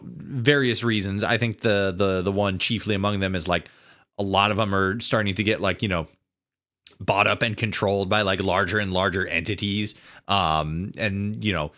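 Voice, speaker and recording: Lowish-voiced male host, halting and roundabout. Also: bright, forceful tone. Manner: halting, roundabout questions, heavy use of "like". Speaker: podcast host, interviewer. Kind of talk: casual conversational interviewing.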